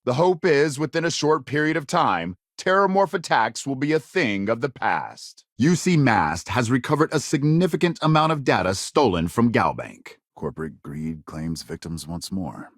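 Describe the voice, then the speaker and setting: An actor playing a grave, polished sci-fi news anchor in a video game, reading scripted bulletins with authoritative, dramatic emphasis. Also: male voice, mid-range voice, very animated, normal speed, hardly any pauses, no echo, clean studio sound. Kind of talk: scripted broadcast news delivery.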